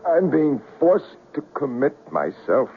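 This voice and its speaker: A man's voice: fairly high pitch, sing-song, takes it slowly, faint music behind, exaggerated voice. A man playing a fragile, troubled young artist in a 1970s radio drama. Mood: torn, resolving. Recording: tinny, noisy old radio broadcast.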